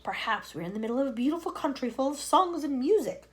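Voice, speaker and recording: A woman's voice: sits high, expressive, quick pace, voice pushed up. A woman voicing a cheerful, kindly old male grasshopper in a storybook reading. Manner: encouraging, kindly.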